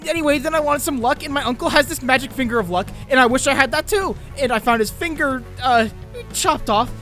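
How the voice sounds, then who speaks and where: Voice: high-pitched, brisk, sharp tone, faint music behind. An actor playing an anxious, guilt-ridden teenage boy who rambles and frets in a dramatic comic dub. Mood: frantic, pleading. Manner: rambling, fretful self-questioning with muttered asides.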